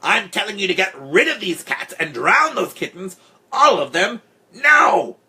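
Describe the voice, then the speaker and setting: A man's voice: high-pitched, sing-song, a little slow, bright, forceful tone, voice pushed up, full character voice. A man voicing a furious cat-hating woman in an audiobook story, screaming and howling her demands. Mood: furious demanding. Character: furious shrieking woman who hates cats.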